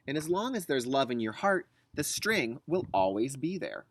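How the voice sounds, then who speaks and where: A man reading as a soft, soothing storybook mom. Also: mid-range voice, very animated, moderate pace, slight background noise. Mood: loving, reassuring. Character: gentle, reassuring storybook mother of young twins.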